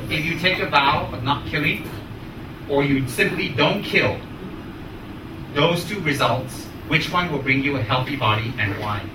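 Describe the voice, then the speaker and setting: Male dharma teacher, American accent, emphatic phrasing, echoey room with clear background noise. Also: a little high, far from the mic. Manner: emphatic, deliberate, short repeated phrases. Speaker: Buddhist teacher. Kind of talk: spoken teaching with questions to students.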